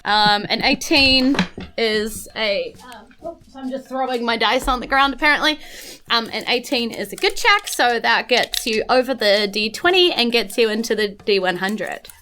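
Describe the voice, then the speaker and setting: A woman's voice: on the high side, animated, moderate pace, sharp tone. A woman with a New Zealand accent, casually narrating a tabletop game as game master.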